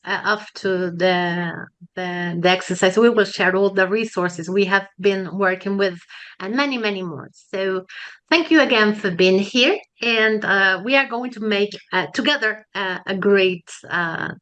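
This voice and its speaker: A woman's voice: medium pitch, unhurried, a bit bright. A woman from Argentina, opening a webinar in a friendly way with some fillers. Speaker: webinar co-host, professor. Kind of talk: webinar presentation.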